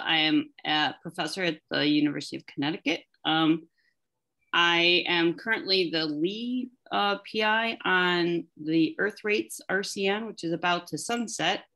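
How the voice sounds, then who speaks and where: A woman's voice: lowish voice, fairly bright tone. A woman professor introducing herself on a video call, friendly and a little hesitant. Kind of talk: self-introduction.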